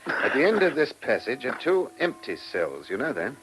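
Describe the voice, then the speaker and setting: Animated, probing police inspector. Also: male voice, mid-range voice, moderate pace, poor audio. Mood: pressing, probing. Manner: insistent, probing questioning, commanding. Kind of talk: vintage British radio play acting. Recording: old radio recording with faint noise.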